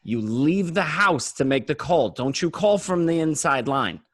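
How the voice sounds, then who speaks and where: A man's voice: fairly high pitch, very animated, unhurried, fairly bright tone, dry recording, studio quality, heavily acted. A man acting as a stressed, bossy male criminal in an amateur script read, insistent and repeating himself. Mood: insistent, nagging. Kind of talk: amateur online table read of a film script.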